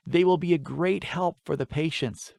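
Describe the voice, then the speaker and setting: A man voicing a kindly, gently teasing mother with an appreciative manner in a fantasy audiobook. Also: fairly high pitch, moderate pace, creaky, no echo, pitched above the performer's own voice. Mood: grateful.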